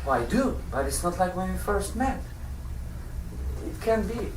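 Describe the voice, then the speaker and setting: A man's voice: sits high, animated, a little slow, a bit bright, slight background noise. A man playing a cynical, resigned husband bickering with his wife in a stage scene. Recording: roomy, distant mic.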